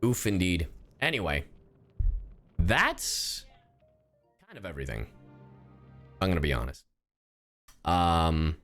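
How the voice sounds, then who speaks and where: Deep-voiced man streaming, very animated pitch. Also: slow pace, long pauses, soft background music. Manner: informal, muttering and thinking aloud. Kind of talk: casual live reaction commentary.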